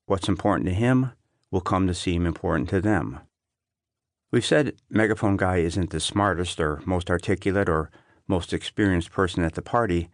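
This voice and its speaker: Deep-voiced man narrating an audiobook. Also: dry recording, clean studio sound. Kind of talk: scripted essay narration.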